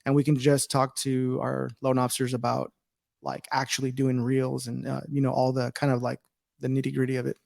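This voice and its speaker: A man's voice: medium pitch, completely flat delivery, fairly quick, vocal fry, darkish tone, no echo, clean studio sound. A man in his forties co-hosting a casual livestream chat, upbeat and rambling, laughing often. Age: 40s. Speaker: livestream co-host.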